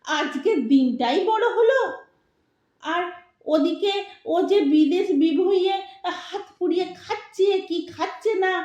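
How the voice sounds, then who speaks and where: A woman playing a sharp-tongued older Bengali wife and mother in an audio drama, scolding with sarcastic emphasis. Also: very high-pitched. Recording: roomy, echoing sound.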